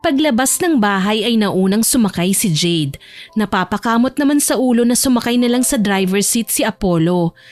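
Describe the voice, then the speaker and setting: A woman narrating a scripted romance story as an audiobook-style narrator. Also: a little high.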